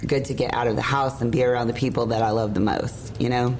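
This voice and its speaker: A middle-aged woman with a hoarse, worn voice, hosting a casual podcast and rambling openly. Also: very deep voice, steady tone, quick pace, a little mellow, slight background noise, rough recording. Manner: rambling, emotional, laughs often. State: hoarse, lost voice. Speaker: podcast host. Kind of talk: casual chat. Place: room at an event hotel. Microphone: shared mic.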